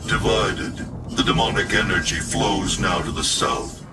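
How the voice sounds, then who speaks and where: A man voicing a solemn, all-knowing armored ally in a video game, speaking in a grave, formal, measured way. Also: fairly low pitch, fairly even intonation, heavy background noise, natural voice. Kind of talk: dramatic video game dialogue and exposition. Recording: distant voice under loud game music.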